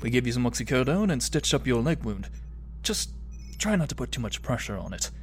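A man's voice: mid-range voice, very animated, a little fast, slight background noise, lightly acted. A man playing a composed ship's doctor in an audio drama, instructing in a matter-of-fact way. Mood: composed, businesslike. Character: composed male ship's doctor tending a patient.

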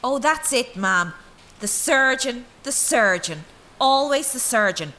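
An actress playing a blunt, defiant young Irish daughter, exasperated and insistent, in an audio drama. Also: female voice, a little high, expressive, slow pace, pushed and bright, exaggerated voice. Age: young adult.

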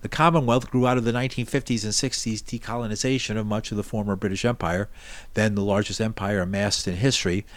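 News host, man, lowish voice, steady formal read, dry sound. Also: quick pace, no echo. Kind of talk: scripted news narration.

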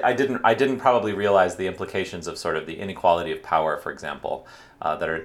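A middle-aged male lecturer with a very low, deep voice talks through his research in a casual podcast conversation. He hesitates a lot, filling gaps with ums and restarting his sentences. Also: fairly bright tone. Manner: hesitant, many fillers and restarts. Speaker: university lecturer. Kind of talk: casual academic podcast chat.

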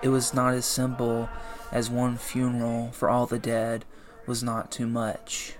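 A man slowly reading a solemn poem aloud as a podcast host, with a measured delivery. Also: mid-range voice, monotone. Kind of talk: slow poetry reading. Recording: clear music under the voice.

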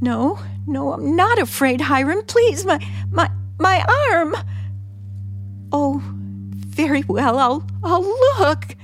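An actress playing a frightened, pleading wife in 1940s radio suspense style, hesitant, breathless and theatrical. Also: female voice, high-pitched, expressive, takes it slowly, full character voice. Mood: fearful. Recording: old radio drama, faint music behind.

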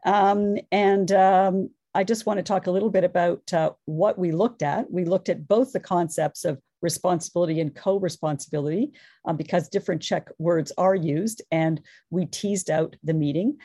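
Woman panelist, lowish voice, dark tone, dry sound, eager and earnest. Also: normal speed, dry recording. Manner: earnest, enthusiastic, thinks aloud. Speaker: academic panelist. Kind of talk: academic conference presentation.

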